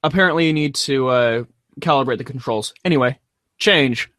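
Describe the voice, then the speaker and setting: A man's voice: medium pitch, moderate pace, a bit bright, no echo, clean studio sound. A man casually prompting fellow readers as co-host during a script reading. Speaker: co-host calling out page changes.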